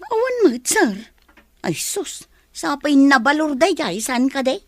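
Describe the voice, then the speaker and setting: High, very animated Ilocano maid. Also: female voice, takes it slowly, dry recording, heavily acted. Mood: surprised, curious. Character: nosy, deferential female household servant. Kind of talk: Ilocano radio drama acting.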